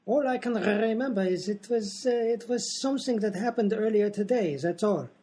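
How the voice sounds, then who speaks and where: Man doing a high-pitched, muddled professor. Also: moderate pace, mellow, husky. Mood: muddled, hesitant. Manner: muddled, hesitant, guileless. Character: dim, amnesiac male professor, placid and literal-minded. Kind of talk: comedy radio play acting.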